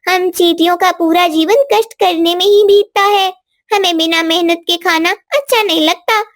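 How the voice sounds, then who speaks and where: A woman's voice: very high-pitched, steady tone, normal speed, no room sound, clean studio sound. A woman voicing a dignified, proud queen ant who explains things formally in a cartoon moral story. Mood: proud, firm.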